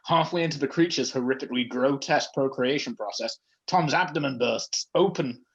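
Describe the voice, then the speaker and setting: A man's voice: medium pitch, a little fast, dull audio. A man reading fan fiction aloud as comedy, breaking off for joking asides. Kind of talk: comedic read-aloud of a story.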